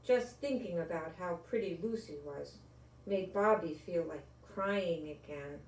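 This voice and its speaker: Slow older woman in an echoey room. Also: on the low side, a little hiss or hum. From Rhode Island, United States. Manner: deliberate, expressive, speaking as to small children. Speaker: storyteller reading to children. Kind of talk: children's storybook read-aloud and friendly address to young viewers. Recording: echoey room, distant microphone.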